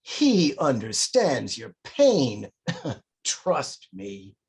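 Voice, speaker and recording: A man's voice: mid-range voice, wildly swooping pitch, very slow, exaggerated voice. A man playing a taunting, contemptuous demonic alter ego of about forty in a film script table read. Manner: goading, insinuating.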